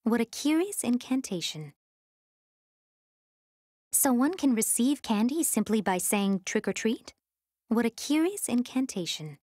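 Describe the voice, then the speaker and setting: Casting: young game heroine, curious and playful, lively intonation, dry recording. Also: female voice, on the high side, unhurried, long pauses, no echo.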